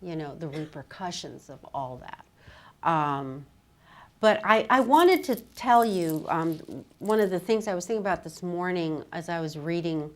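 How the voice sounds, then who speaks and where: A woman with a low voice leads a literary conversation as a fellow writer. She muses aloud in a halting, informal way, often breaking off for small asides.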